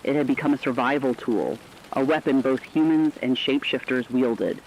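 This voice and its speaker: A man delivering scripted apocalyptic horror narration in a grave, measured storytelling manner. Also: medium pitch, lo-fi sound, a little hiss or hum.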